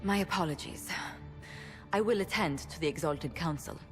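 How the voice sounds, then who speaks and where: An actress as a proper ambassador in a reverberant hall. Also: female voice, fairly low pitch, normal speed, close to an everyday voice. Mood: contrite. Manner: formal, earnest, precise. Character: anxious, proper female ambassador and diplomat. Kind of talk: video game voice acting. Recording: long hall reverb, music behind.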